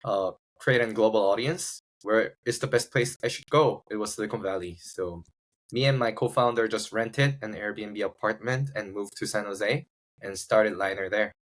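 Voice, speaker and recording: A man's voice: on the low side, normal speed, low-quality recording. A man from South Korea with a Korean accent, telling his story casually as a podcast guest, often searching for words. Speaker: podcast guest, startup founder. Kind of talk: casual personal storytelling in an interview.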